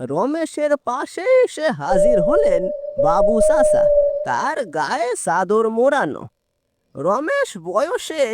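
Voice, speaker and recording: A woman narrating a horror story in an expressive, theatrical way. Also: high-pitched, no echo, clean studio sound, exaggerated voice. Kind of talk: dramatic horror story narration with character voices.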